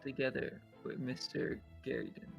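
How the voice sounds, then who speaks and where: A man's voice: mid-range voice, little pitch movement, moderate pace, gravelly, soft background music, natural voice, poor audio. A man voicing an inquisitive, formal defense lawyer who probes a witness in a courtroom video game. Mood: probing. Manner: formal, probing.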